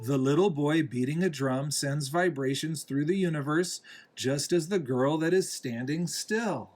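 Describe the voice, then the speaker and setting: Slow-paced man reading a children's book. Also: on the high side, a little mellow. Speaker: reader, parent reading to a young child. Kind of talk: read-aloud children's picture book narration.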